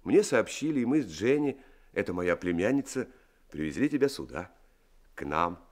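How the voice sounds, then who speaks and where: A man playing a kind, calm friend who nurses and reassures a sick young man in a radio play. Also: on the low side, normal speed. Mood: soothing. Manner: soothing, warmly reassuring. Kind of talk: Soviet radio drama acting.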